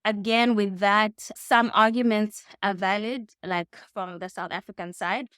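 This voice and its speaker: Clear-voiced Zambian woman in a dry room. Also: medium pitch, steady tone, a little slow, fairly bright tone, dry recording. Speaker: interview guest. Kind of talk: casual reflective interview answers. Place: indoors in a home.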